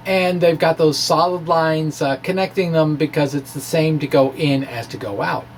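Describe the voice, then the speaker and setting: A man giving an informal science explanation in a halting way, as if thinking aloud. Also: on the high side, fairly even intonation, slight background noise. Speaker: amateur scientist presenting his blog.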